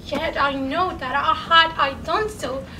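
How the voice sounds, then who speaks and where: A young woman performing a dramatic poetry reading, slow and pleading with sudden loud swells, in a large room. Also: high-pitched, distant mic. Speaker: contest reader. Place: large college event room. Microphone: far camera, standing away from mic. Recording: echoey room recorded from afar, noisy.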